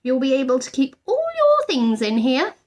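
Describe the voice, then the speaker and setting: Young girl, performed by a woman reader: welcoming, helpful storybook chatterer, high-pitched and keen. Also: wildly swooping pitch, normal speed, darkish tone. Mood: chatty, welcoming. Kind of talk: children's storybook character voice.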